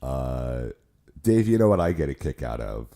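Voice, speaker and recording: A hoarse man co-hosting a podcast, chatting casually with lots of restarts and poking fun at himself. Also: very deep voice, sing-song, moderate pace, mellow. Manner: rambling, self-mocking, many restarts. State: hoarse from illness, voice cracks. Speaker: podcast co-host. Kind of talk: casual chat.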